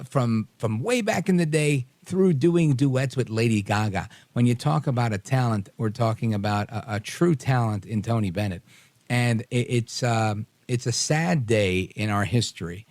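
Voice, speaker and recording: A man's voice: lowish voice, darkish tone, dry recording. A man hosting a late night talk radio show, greeting listeners with energy and leaning on fillers as he talks. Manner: upbeat, hypes the audience, many fillers.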